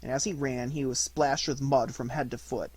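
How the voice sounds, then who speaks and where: A man reading a storybook as scripted audiobook narration, in deliberate, measured phrases. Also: mid-range voice, steady tone, a little mellow, breathy edge, no room sound. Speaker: audiobook reader.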